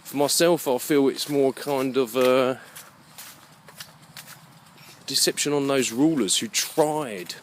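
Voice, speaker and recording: Man musing over steady background noise. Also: medium pitch. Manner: halting, musing, repeats phrases. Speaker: vlogger. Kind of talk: rambling unscripted spoken monologue.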